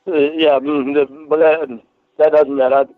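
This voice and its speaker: A man with a stutter talking casually in a halting, defensive way. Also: on the high side, little pitch movement, dry recording. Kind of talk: casual phone conversation. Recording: tinny phone-like line, music behind.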